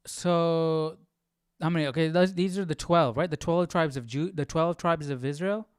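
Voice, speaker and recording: A man talks casually as a livestream host, puzzling things out aloud. His voice is clear and smooth, with an informal, questioning manner. The sound is dry and close, with little room around it. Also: a little high, normal speed, dry recording. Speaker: host of a Bible study livestream.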